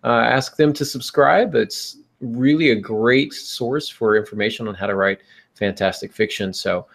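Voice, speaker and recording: A man's voice: mid-range voice, moderate pace, vocal fry, a bit bright. A man hosting a podcast, talking casually and giving advice to listeners. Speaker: podcast host.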